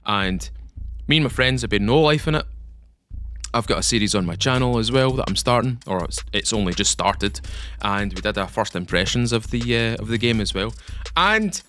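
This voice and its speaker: A man's voice: on the low side, expressive, normal speed, fairly bright tone, slight background noise. A young Scottish man giving a rambling, enthusiastic update on a game for his channel. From Scotland. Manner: rambling, enthusiastic, repeats himself. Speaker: gaming YouTuber. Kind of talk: casual game update commentary.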